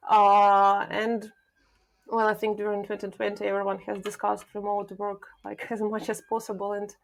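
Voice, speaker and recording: A woman from Ukraine, a product manager answering questions thoughtfully as a podcast guest, pausing often with filler sounds. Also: medium pitch, monotone, gravelly, rough recording. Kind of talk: podcast interview.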